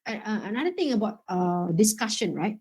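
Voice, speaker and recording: A woman's voice: mid-range voice, sing-song, fairly quick, darkish tone, slightly rough. Woman running a workshop, keeps saying okay right, chatty teacher vibe. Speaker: IELTS workshop instructor.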